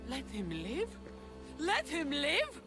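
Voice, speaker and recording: Young rebel leader lady, passionate, highish voice that swings around, game cutscene with music. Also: huge pitch swings, slow pace, full character voice. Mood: bitter. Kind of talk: video game cutscene acting.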